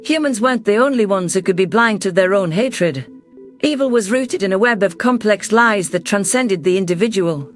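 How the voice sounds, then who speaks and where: A man narrating a science fiction story in the first person with steady storytelling delivery. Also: high-pitched, moderate pace, faint music behind.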